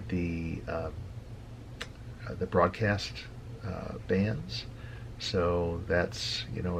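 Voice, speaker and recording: A middle-aged man giving an unscripted, casual explainer as a hobbyist, thinking aloud in a halting way as he goes. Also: deep voice, vocal fry, a little hiss or hum. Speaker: radio hobbyist presenting a tutorial.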